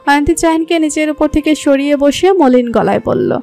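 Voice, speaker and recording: A woman narrating a romantic story in a smooth, even storytelling voice. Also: high-pitched, normal speed. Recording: soft background music.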